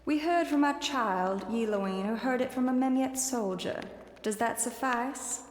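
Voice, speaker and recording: A centuries-old female elf councillor in a fantasy audio drama , played by a woman. She is curt, cold and imperious, her fairly high voice ringing in a reverberant great hall. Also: a little slow, natural voice. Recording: echoey great hall, distant timbre.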